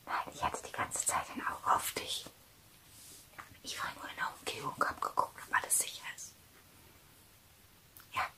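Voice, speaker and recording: A middle-aged woman playing a weary, caring zombie apocalypse survivor in a whispered ASMR roleplay, breathy, hushed and intimate. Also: moderate pace, long pauses, close to an everyday voice, rough recording. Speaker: ASMR performer.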